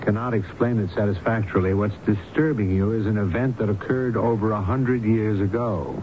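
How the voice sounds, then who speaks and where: An actor playing a calm, probing doctor and hypnotist in 1970s radio drama style, speaking in a measured, reassuring way. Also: male voice, fairly low pitch, natural voice. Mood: measured, serious. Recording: dull noisy old radio broadcast recording.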